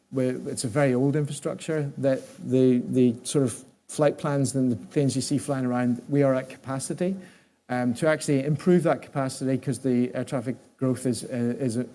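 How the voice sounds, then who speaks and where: A man giving a conference presentation as an executive, speaking haltingly and often restarting his words and phrases. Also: medium pitch, mellow. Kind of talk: conference presentation with audience questions.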